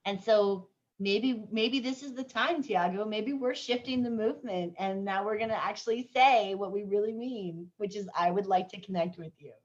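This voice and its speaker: A woman from Canada hosts a casual interview, affirming and thoughtful as she responds. Her voice has a middling pitch, and the audio sounds a little dull. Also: moderate pace, few pauses. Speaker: host.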